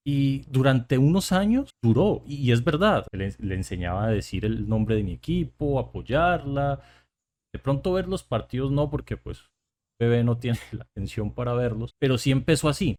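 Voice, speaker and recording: Colombian male podcast host, relaxed chatty delivery, mid-range voice. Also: medium pitch. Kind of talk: casual podcast chat.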